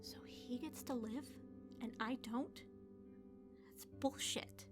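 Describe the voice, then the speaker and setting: A shaken, defiant young woman in a hospital drama, with a fairly high voice. She both speaks and narrates in the first person, with clear music underneath. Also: slow pace. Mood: defiant, upset.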